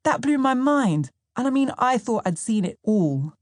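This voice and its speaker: A woman narrator playing a streetwise young man from London in an audiobook. He talks in emphatic slang with a London accent, incredulous and pitched up. The sound is dry and close. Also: very animated, moderate pace, dry recording.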